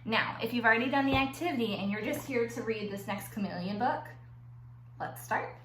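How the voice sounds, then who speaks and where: A woman schoolteacher reading aloud to young children and explaining an activity in simple, clear phrases. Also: on the high side, a little fast. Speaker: elementary school teacher. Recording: echoey room with faint background noise.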